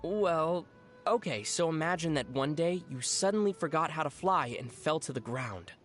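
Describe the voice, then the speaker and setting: An actor playing a young traveler in a video game, speaking in a measured, thoughtful, dry and gently teasing way. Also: mid-range voice, animated, faint music behind, natural voice. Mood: thoughtful, caring. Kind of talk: scripted video game dialogue.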